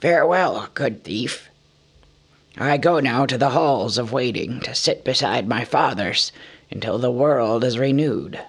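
A man reading an audiobook, voicing a proud male dwarf king who is wounded and weak, speaking hushed, slow and solemn. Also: mid-range voice, husky. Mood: solemn farewell. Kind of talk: audiobook character voice.

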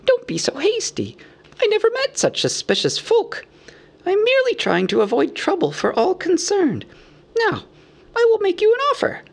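A man voicing a small, fussy, anxious male hobbit from a storybook, squeaky, polite and rambling in a businesslike way. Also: wildly swooping pitch, moderate pace, vocal fry, heavily acted. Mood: indignant, pleading. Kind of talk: storybook character voice.

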